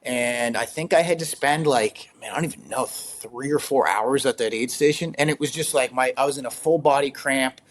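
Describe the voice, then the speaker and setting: A man's voice: medium pitch, moderate pace, few pauses. Laughing, rambling young man. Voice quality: slightly raspy. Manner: laughs often, rambling with many fillers. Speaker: guest, ultrarunner. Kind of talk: casual interview.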